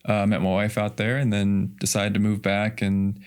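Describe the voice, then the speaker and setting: A man's voice: on the low side, level tone, moderate pace, darkish tone, clean tone. An American man from Kansas talking casually as an interview guest, explaining things informally. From Kansas, United States. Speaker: guest, festival committee chairman.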